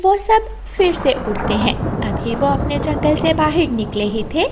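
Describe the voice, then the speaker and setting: A woman's voice: high-pitched, sing-song, unhurried. A woman narrating a scripted children's cartoon story as its storyteller. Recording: loud storm and thunder noise behind.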